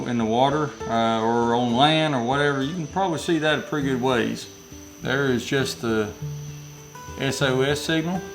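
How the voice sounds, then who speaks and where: A man's voice: mid-range voice, low-quality recording. A man with a Southern US accent giving a casual, rambling product review from inside a metal building, often correcting himself mid-sentence. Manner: rambling, self-correcting, folksy.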